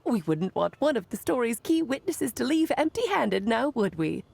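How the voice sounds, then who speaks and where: A husky, teasing witch. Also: on the high side, sing-song, normal speed, darkish tone, no echo, exaggerated voice. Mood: wry. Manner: teasing, wry. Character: playful, knowing adult witch.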